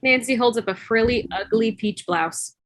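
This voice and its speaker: A woman's voice: fairly high pitch, moderate pace, no room sound. A woman reading scripted stage directions as narration on a video call. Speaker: stage-direction reader in a table read.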